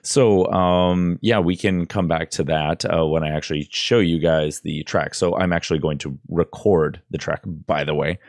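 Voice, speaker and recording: A man in his thirties with a low voice, giving a casual, rambling, halting tutorial into a close studio mic. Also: fairly even intonation, darkish tone, no room sound. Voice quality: relatively low voice. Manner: informal, rambling, halting explanations. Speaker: tutorial presenter. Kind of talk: casual screen-recorded tutorial. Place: indoor desk setup. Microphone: close boom-arm studio mic, headphones.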